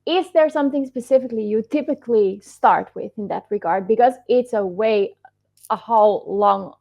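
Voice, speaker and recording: A woman's voice: medium pitch, moderate pace, creaky, darkish tone. A woman from the Netherlands with a Dutch accent, a guest answering interview questions thoughtfully and reflectively, often hedging with "I guess". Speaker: guest, startup founder. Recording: muffled lo-fi audio.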